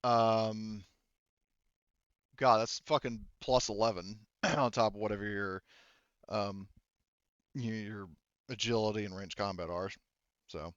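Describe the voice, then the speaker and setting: Slow-talking man running a tabletop game, smooth voice. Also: on the low side, fairly even intonation, fairly bright tone, no echo, muffled recording. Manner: halting, thinking aloud, mildly profane. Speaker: game master. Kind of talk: running a tabletop roleplaying game, casual narration and rules talk.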